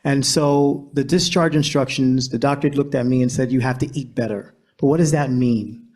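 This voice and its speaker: A man with a middling pitch gives a short pitch at an event. He tells it plainly, as a personal story. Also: fairly even intonation, normal speed, darkish tone. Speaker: consultant pitching an idea.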